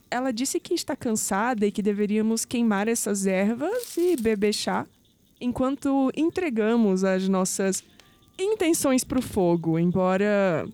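Mellow, wry young Brazilian woman acted. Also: medium pitch, close to an everyday voice. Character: curious, teasing young skeptical woman. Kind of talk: audio drama acting.

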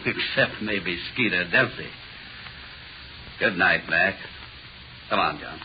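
A man's voice: sits low, slow. A man acting a sadistic, taunting American police jailer with slangy, mocking speech in a 1940s radio drama. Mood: gloating, menacing. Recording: dull, noisy 1940s radio recording.

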